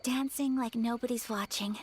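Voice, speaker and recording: A woman voicing a gentle, refined, shy young noblewoman in an anime game, soft, polite and earnest. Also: on the high side, steady tone, moderate pace, slightly rough. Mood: modest, shy.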